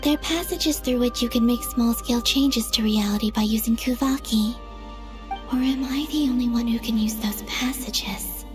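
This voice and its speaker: A woman voicing a serene, earnest young moon goddess in an anime game, soft-spoken and measured. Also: fairly high pitch, faint music behind, natural voice. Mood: calm, inquiring. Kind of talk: anime game cutscene acting.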